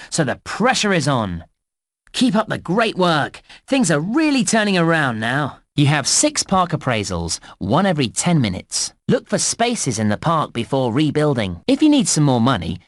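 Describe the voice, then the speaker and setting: A British man playing a cheerful, eager theme park guide, narrating a children's game in a bouncy, clearly enunciated, encouraging way. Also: fairly high pitch, no echo, clean studio sound.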